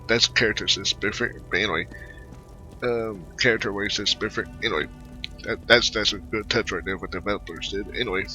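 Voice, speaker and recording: A man with a fairly low voice comments casually on his own gameplay. He rambles in a halting, mumbly way, repeating words and trailing off. Game music is clearly audible behind him. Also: pushed and bright, rough recording. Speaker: gamer narrating his playthrough.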